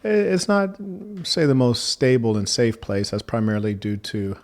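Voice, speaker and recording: A man hosting a debate, speaking in a measured, formal manner and asking probing questions. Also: mid-range voice, very animated, a little mellow. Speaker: debate host.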